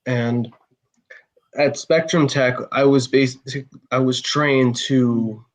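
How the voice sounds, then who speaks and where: A man answering a question on a panel, speaking plainly and deliberately with some halting. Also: mid-range voice, fairly even intonation.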